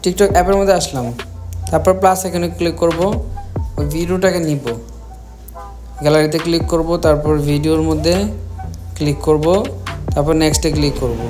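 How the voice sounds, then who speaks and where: A man with a Bangladeshi accent giving step-by-step software instructions as a tutorial host, repeating his phrases as he goes. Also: on the high side, fairly even intonation, brisk, long pauses. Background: music.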